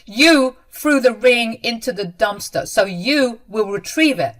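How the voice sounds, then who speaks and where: A stern, angry grown man, acted out by a woman narrator, confronting family in a dramatized story. His delivery is hard, forceful and commanding. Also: fairly high pitch, lively intonation, measured pace, voice pushed up. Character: stern, angry adult son defending his fiancee.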